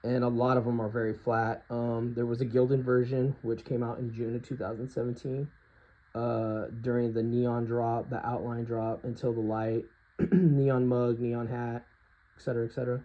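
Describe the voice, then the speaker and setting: A hoarse man talking informally and with strong opinions in an unscripted collector's review, often clearing his throat. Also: mid-range voice, little pitch movement, normal speed, highs cut off. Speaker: hoodie collector reviewing products. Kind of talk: casual unscripted compare-and-contrast review.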